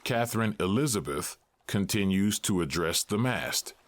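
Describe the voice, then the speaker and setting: A man's voice: lowish voice, lively intonation, normal speed, no room sound. A man narrating stage directions for a scripted audio drama.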